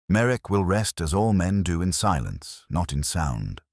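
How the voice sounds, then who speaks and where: Man acting cerebral detective, bass-deep, solemn, deliberate, aphoristic, dry recording, storybook mystery. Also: big pitch swings, darkish tone, dry recording, full character voice. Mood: quiet, solemn. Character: cerebral, grave male detective, quietly philosophical.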